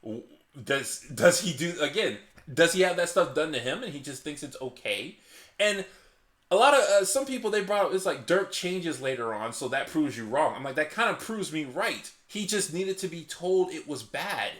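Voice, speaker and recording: A man hosting his own channel, reasoning aloud in casual commentary. He is passionate and restarts often, and says his naturally loud voice is held low on purpose. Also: lively intonation, moderate pace, reverberant. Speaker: channel host. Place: hot indoor room.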